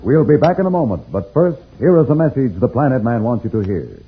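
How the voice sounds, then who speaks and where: A man's voice: mid-range voice, big pitch swings, brisk. A man announcing a 1950s radio serial with dramatic scripted narration. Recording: narrow-band old radio, loud music.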